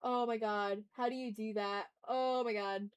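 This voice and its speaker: A woman's voice: fairly high pitch, steady tone, unhurried, clear, resonant tone, dull audio. A woman hosting an art livestream, chatting informally and candidly, thinking aloud as she draws and swearing freely. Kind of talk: casual chat while drawing on stream.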